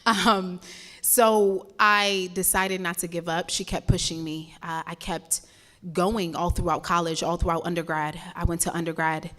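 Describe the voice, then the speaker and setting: A woman's voice: on the low side, steady tone, a little slow, a bit bright. A young woman from Ghana, a spoken word poet, talking to a live event crowd and then performing a poem with a rhythmic, impassioned delivery and repeated words. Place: stage at a live campus event.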